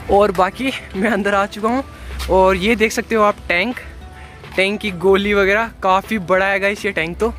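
A young man with a fairly high voice walks through town, chatting to his viewers in a loose, repetitive vlog style. He holds his phone camera at arm's length outdoors, and music plays clearly under his voice. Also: sharp tone. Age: young adult. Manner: chatty, repeats "guys" and "chalo" often. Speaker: travel vlogger. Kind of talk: casual walking vlog narration. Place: outdoors on city streets and a market. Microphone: handheld phone camera at arm's length.

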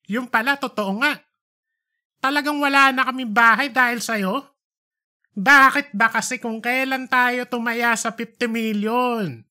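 A woman's voice: fairly high pitch, pushed and bright. A woman voicing an easygoing, beer-loving husband and father in an audiobook. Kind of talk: audiobook character reading.

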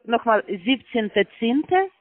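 A man as a phone scammer, speaking halting, broken German patiently and repetitively on a phone call. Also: extremely high pitch, big pitch swings, no room sound. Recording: tinny phone line.

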